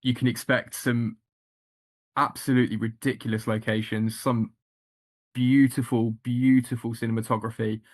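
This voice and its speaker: Flat-voiced man, halting interview answers. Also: medium pitch. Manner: hesitant, many ums and restarts, flat delivery. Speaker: actor, interview guest.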